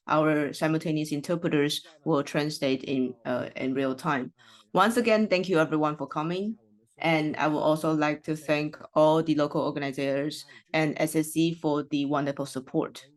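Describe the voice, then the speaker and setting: Interpreter for a symposium opening; mid-pitched, hesitant, filler-heavy delivery.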